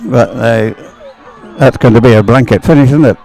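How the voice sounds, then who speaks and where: Mellow-voiced man commentating on sport. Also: medium pitch, normal speed, creaky, soft background music, some chatter behind, low-quality recording. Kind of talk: conversational race commentary. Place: open-air commentary position.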